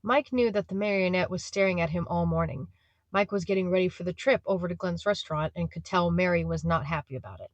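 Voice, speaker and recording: A woman's voice: on the low side, quick pace. A woman reading scripted story narration as the narrator of an audio drama.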